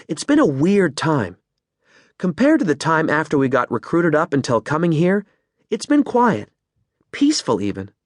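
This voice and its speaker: A man's voice: fairly high pitch, no room sound, studio quality. A man voicing a wry, reflective young woman as she types her private log in an audiobook. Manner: wry, musing first-person reflection.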